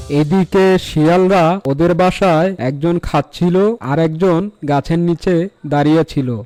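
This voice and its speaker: A man's voice: a little high, darkish tone. A man narrating a scripted fairy tale as a storyteller for a cartoon.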